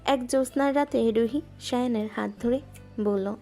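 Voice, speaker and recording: A woman gently narrating a scripted romantic audio story. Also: a little high, moderate pace. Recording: music bed underneath.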